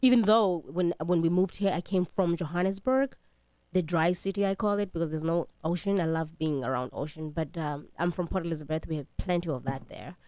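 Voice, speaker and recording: A South African woman, a native Xhosa speaker, talks about her music and hometown on air. Her voice sits fairly low and comes across close and dry. She speaks haltingly, with plenty of "um"s and restarts. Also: no room sound. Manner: warm, halting, many fillers. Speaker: singer and radio guest. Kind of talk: casual radio interview chat.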